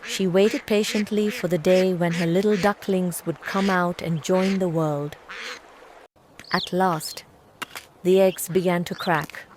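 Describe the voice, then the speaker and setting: A woman's voice: fairly low pitch, fairly even intonation, slow pace, darkish tone. Woman narrating a children's story.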